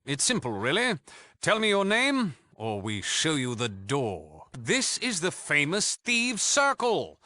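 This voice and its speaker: Flamboyant british thief leader from a video game, highish pitch, sarcastic posh manners, super close dry sound. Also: male voice, wildly swooping pitch, slow, pushed and bright, no room sound, full character voice. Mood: coolly condescending. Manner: arch, theatrical, sarcastic politeness. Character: cocky, flamboyant, mock-polite British thief gang leader. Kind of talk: theatrical video game character dialogue.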